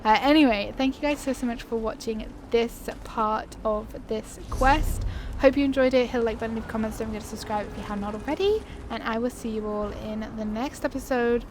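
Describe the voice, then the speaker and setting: A woman's voice: a little high, fairly quick, background noise. A woman giving casual, playful let's play commentary as the host of a gaming video, in a chatty, informal way. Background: video game ambience.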